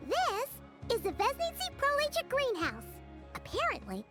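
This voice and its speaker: A woman voicing a tiny, chirpy fairy companion in a video game, childlike and squeaky, perky and chatty. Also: wildly swooping pitch, measured pace, over loud music, exaggerated voice. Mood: cheerful explaining. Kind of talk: video game character acting.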